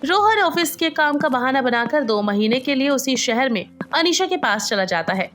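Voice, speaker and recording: A woman's voice: sits high, sing-song, normal speed, fairly bright tone, faint music behind. A woman narrating a scripted story as its storyteller.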